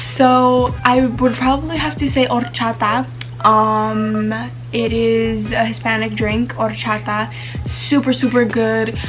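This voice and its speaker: A woman's voice: a little high, low-quality recording. Chatty teen girl vlogger. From United States. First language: Spanish. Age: teens. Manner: chatty, many fillers like um and like. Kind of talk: casual chat to camera.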